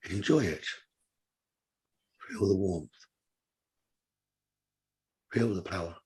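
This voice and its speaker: Male meditation guide, somewhat deep and strongly raspy-breathy, calm instructional delivery in short spaced phrases. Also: slow pace. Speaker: meditation teacher.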